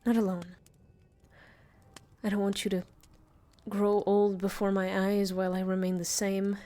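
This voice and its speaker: A woman playing a centuries-old vampire master, tender and weary, confiding softly in an intimate audio roleplay. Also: medium pitch, level tone, close to an everyday voice. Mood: lovesick, confessing. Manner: soft, slow, hesitant, confiding.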